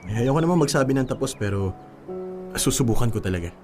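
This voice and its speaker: A man playing an earnest, devoted young boyfriend in a Tagalog radio drama, gentle and sincere, with a Filipino accent. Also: medium pitch, expressive, fast, soft background music, natural voice. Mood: tender, sincere.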